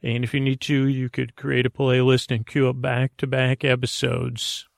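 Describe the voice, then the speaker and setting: A man with a creaky, gravel-edged voice rambles through a bedtime podcast monologue. He talks hesitantly, circling and wandering from one tangent to the next. The sound is close and dry. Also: mid-range voice, completely flat delivery, moderate pace, no echo. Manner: meandering, hesitant, trailing off into tangents. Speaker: podcast host.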